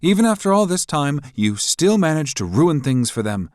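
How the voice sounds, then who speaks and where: A man's voice: on the high side, sing-song, moderate pace, no echo, studio quality, pitched above the performer's own voice. A man voicing a cold, mocking woman official who speaks coolly and sharply. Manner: cool, sharp, condescending.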